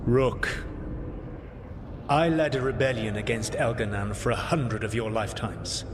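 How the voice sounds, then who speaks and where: An actor playing an ancient elven rebel leader in a video game, a grave and calculating mentor who speaks in measured, formal counsel. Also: male voice, mid-range voice, noisy background, close to an everyday voice. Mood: counselling, solemn. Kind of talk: dramatic video game dialogue.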